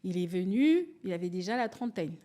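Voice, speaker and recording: A woman in her forties, from Angola, speaking candidly as a podcast guest, telling stories and acting out quotes with lively restarts. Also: fairly low pitch, brisk. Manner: animated, storytelling, restarts and acts out quotes. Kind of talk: candid conversational interview.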